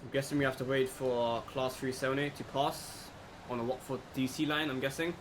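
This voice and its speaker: A man giving casual, low-key let's play commentary, thinking aloud as he goes. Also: fairly quick. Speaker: gaming video host. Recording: clear background noise, steady hum.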